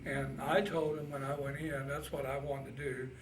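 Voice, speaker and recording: A man's voice: mid-range voice, level tone. Elderly Midwestern man, roomy distant recording. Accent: American Midwestern. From Indiana, United States. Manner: halting, folksy plain speech. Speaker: Navy veteran being interviewed. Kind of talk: casual oral history recollection. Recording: roomy, distant mic, faint noise.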